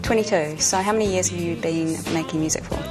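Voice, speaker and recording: A woman's voice: lowish voice, fast talker, over music. A woman hosting a TV interview in an upbeat, chatty presenter manner. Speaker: TV host. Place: TV studio couch.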